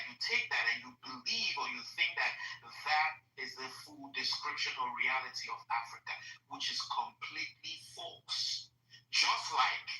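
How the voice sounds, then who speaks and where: A woman's voice: deep voice, bright, forceful tone. A woman in her forties from Nigeria with a Nigerian accent, arguing her point insistently in a heated conversation. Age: 40s. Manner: emphatic, insistent, repeats herself while making a point. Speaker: discussion participant. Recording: roomy echo, distant mic.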